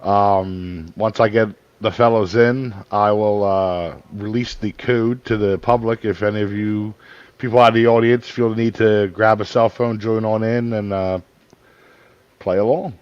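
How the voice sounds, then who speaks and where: Male stream host, low register, casual talk, slightly muffled lo-fi sound. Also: fairly even intonation, measured pace, a little mellow, clear, resonant tone, dry recording. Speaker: livestream show host. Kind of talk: casual chat.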